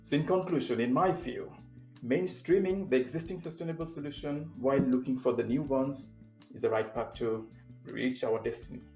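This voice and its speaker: A young man from Bangladesh speaks in a steady, measured way, an occasional filler slipping in. His voice sits at an ordinary middle pitch. The room sounds roomy, with faint music underneath. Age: young adult. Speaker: sustainability consultant. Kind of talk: prepared remote talk. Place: indoor room with plain wall.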